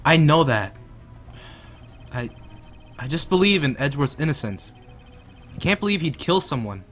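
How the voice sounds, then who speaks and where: A man's voice: on the high side, sing-song, normal speed, fairly bright tone, soft background music. A man voicing an earnest young attorney. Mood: determined. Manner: earnest, determined.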